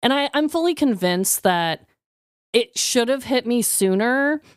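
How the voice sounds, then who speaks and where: Clear-voiced woman, casual podcast co-host. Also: a little high, lively intonation, a little slow, no echo, clean studio sound. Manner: exclamatory, casually profane. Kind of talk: casual chat.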